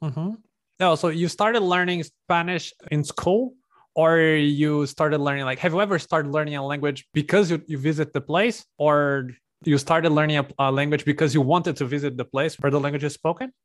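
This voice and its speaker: A man's voice: a little high, fairly even intonation, moderate pace. A man conducting a casual interview, asking questions hesitantly and restarting them as he goes.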